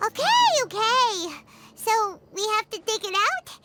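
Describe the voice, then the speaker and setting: Squeaky excitable little fairy sidekick. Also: female voice, unhurried, heavily acted. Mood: grumbling, puzzled. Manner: refers to self in third person, exclaims. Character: tiny excitable floating fairy companion, childlike and chirpy. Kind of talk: video game cutscene acting. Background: music.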